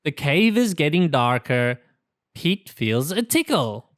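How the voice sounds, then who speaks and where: A woman's voice: low-pitched, big pitch swings, slow, no echo, studio quality. A woman reading a picture book aloud to young children, enunciating simple sentences carefully. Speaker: children's story reader.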